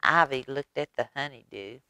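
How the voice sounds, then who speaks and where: A woman reading a picture book aloud at storytime for young children, animated, with chatty asides to her listeners. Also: rumbling low, moderate pace, dry recording.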